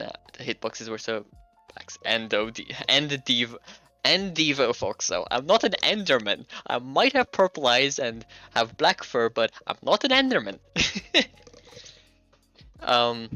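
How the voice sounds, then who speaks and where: A man's voice: medium pitch, pushed and bright, clear, resonant tone. A man from Hungary, a native Hungarian speaker, chatting casually on a livestream while he works, thinking aloud and laughing often. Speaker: streamer and game developer.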